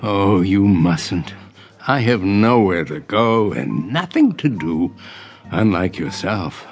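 A courtly old gentleman in a radio play. His voice is low and moves in lively swings, genial and folksy with a wistful edge. Faint music plays behind him. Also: slow, heavily acted. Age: older. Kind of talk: audio drama acting.

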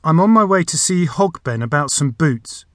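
A man's voice: a little high, expressive, normal speed, a bit bright, no room sound, studio quality, voice pushed up. A man voicing a nervous, bewildered male adventurer in a storybook, hesitant in manner. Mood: nervous, spluttering.

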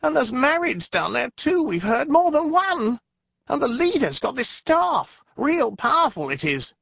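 A man's voice: very high voice, sing-song, a little slow, creaky, breathy edge, dry recording, muffled recording, voice pushed up, full character voice. A man voicing a timid, fretful male frog-shaped jinni sentry in a storybook reading, whining with a common manner of speech. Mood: anxious.

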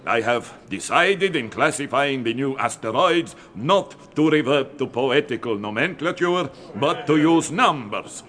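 Earnest Turkish astronomer. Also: male voice, mid-range voice, animated, measured pace, few pauses, fairly bright tone. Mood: formal, precise. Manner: formal, earnest lecturing. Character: earnest male Turkish astronomer presenting his discovery. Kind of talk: radio drama acting.

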